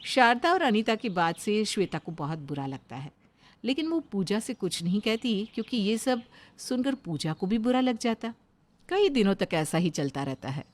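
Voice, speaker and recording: A woman's voice: mid-range voice, very animated, normal speed. A woman reading scripted narration for a moral story, telling the events as a storyteller.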